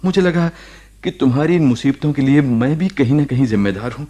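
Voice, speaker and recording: An actor playing a gentle ailing husband in a Hindi radio drama, speaking earnestly with pauses between phrases. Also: male voice, medium pitch, close to an everyday voice. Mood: earnest, wounded.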